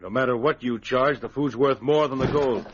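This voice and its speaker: A man's voice: mid-range voice, moderate pace, noisy background, close to an everyday voice. An actor playing a cautious, uneasy outlaw henchman in an old-time radio adventure. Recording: muffled vintage radio recording.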